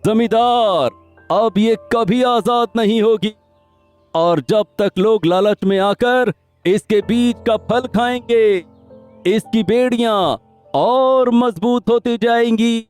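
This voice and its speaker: A man's voice: high voice, slow pace, darkish tone, smooth, faint music behind. A man voicing a sinister, boastful sorcerer in a theatrical, gloating manner for a cartoon moral story. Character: sinister male sorcerer, gleefully scheming and boastful.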